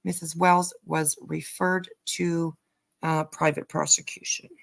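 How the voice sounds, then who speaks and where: Slow, creaky-voiced woman livestreaming. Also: low-pitched, flat delivery. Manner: halting, hesitant delivery with trailing asides. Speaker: livestream host. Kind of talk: casual livestream commentary over played clips.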